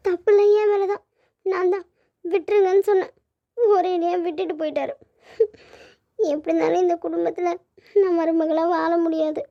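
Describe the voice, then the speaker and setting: Woman acting a very high-pitched lovelorn girlish daughter. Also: warm tone. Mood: tearful, pleading. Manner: plaintive, girlish. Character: tearful lovelorn young daughter, naive and clinging. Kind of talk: melodramatic family audio drama acting. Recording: dull lo-fi audio.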